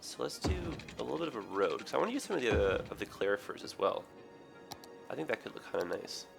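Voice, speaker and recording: A man with a raspy, hoarse, tired voice, rambling through casual game commentary with many ums and self-corrections. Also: lowish voice, sing-song, fast, over music. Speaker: gaming video host. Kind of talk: casual let's play commentary.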